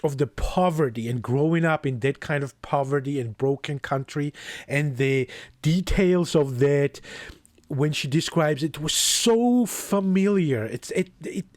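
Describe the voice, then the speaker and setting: A man's voice: a little high, unhurried, darkish tone, dry recording. A young man from Georgia, a native Georgian speaker, talking casually about a book he loves, thoughtful and hesitant with many restarts. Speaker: book reviewer.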